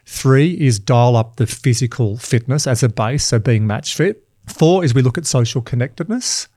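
An upbeat man hosts a coaching podcast, talking in a chatty flow with quick asides. His voice is mellow and warm, and the recording is close and dry. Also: mid-range voice, animated, no room sound. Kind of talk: casual coaching conversation.

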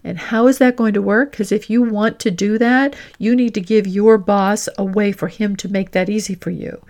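A woman podcast host and executive coach delivering a scripted, instructional monologue in a deliberate way, speaking directly to the listener. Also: medium pitch, fairly even intonation, a little mellow.

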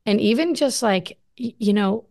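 A woman hosting a casual video-call conversation, chatty and enthusiastic, quick to gush in agreement. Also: on the high side, creaky, a little mellow. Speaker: podcast host. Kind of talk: casual chat.

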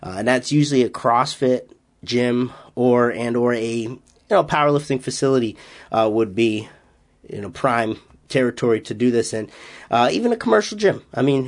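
Upbeat man with a Massachusetts accent. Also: mid-range voice. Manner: upbeat, rambling, many "uh" fillers. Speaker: strength coach and podcast host. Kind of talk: casual solo podcast talk.

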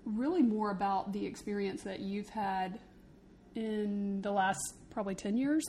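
A woman's voice: medium pitch, unhurried, warm tone. A woman speaking as a podcast co-host in casual, unscripted conversation. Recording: clear background noise, ambience.

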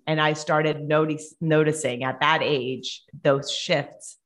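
A woman's voice: deep voice, a bit bright. A middle-aged woman from Colombia with a Colombian Spanish accent, a native Spanish speaker, talking reflectively as a podcast guest. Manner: reflective, with frequent restarts and laughs. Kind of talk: conversational interview.

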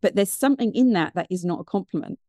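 A British woman in her fifties from the UK, a guest telling her story in a chatty, animated, digressive way. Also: medium pitch, quick pace, no room sound, studio quality. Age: 50s. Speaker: guest, mindfulness business founder. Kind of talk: conversational interview storytelling.

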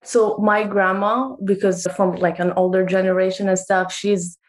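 A woman's voice: mid-range voice, flat delivery, moderate pace. A woman in her twenties from Morocco, a guest author, talking casually and chattily with lots of "like" fillers. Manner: chatty, frequent "like" fillers, laughs easily. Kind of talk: casual interview talk.

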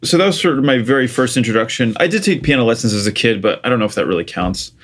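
A man's voice: medium pitch, fast, a bit bright. A man in his thirties from Portugal with an American accent, a guest chatting casually about his start in music, with many "like" and "you know" fillers. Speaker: interview guest, music producer. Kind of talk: casual conversational storytelling.

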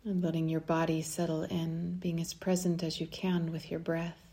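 A woman guides a meditation in a low, mellow voice with creaky edges. She speaks softly and soothingly, leaving long pauses between short phrases. Her delivery stays very even.

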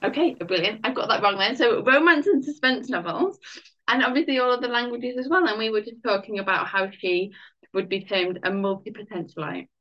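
A woman hosts a podcast interview in a chatty, upbeat style, often dropping in casual fillers. Her voice sits in an ordinary middle pitch. The audio sounds muffled and a bit lo-fi. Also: brisk. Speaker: podcast host, life coach.

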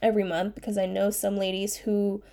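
A woman's voice: medium pitch, completely flat delivery, a little fast, dark tone. Young woman doing her solo podcast, sounds nasal and stuffed up from a cold, chatty and rambly. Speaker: podcast host, life coach. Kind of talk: casual solo podcast chat.

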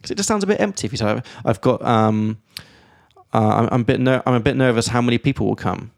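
A man hosting a casual podcast, explaining English grammar in a lively way and reading out example sentences. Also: medium pitch, fast talker, vocal fry, no room sound, clean studio sound. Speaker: podcast host and English teacher.

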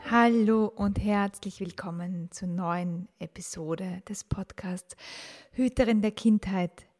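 A woman in her forties hosting her own podcast, telling a personal story about how she learned to talk with children, calm and reflective, asking the listener questions. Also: mid-range voice. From grew up in the 1980s and 1990s. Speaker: podcast host, teacher and mother.

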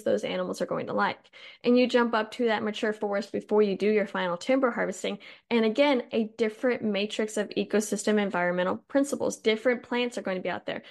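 A woman hosting a podcast, explaining land management in a chatty, informal way with her voice slightly hoarse. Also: mid-range voice. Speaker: podcast host. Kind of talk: casual educational talk on forestry.

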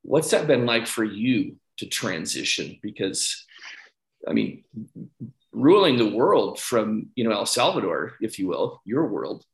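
A man hosting a podcast interview in a conversational coaching style, putting his questions slowly and haltingly with repeated words. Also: fairly low pitch, very animated. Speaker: podcast host. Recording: roomy, echoing sound.

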